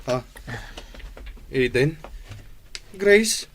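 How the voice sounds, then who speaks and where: A man's voice: a little high, lively intonation, drawn-out words, a bit bright, slight background noise, full character voice. Male, middle-aged: actor as an ailing, forgetful family man, tender and emotional, for radio drama. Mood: confused, longing. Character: ailing, affectionate husband and father with fading memory. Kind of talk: Ilocano radio drama acting.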